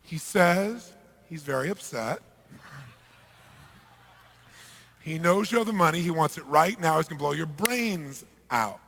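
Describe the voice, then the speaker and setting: A man voicing a calm, dutiful interpreter in a story, relaying messages in a measured, matter-of-fact way. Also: fairly high pitch, creaky, a little hiss or hum. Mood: flat.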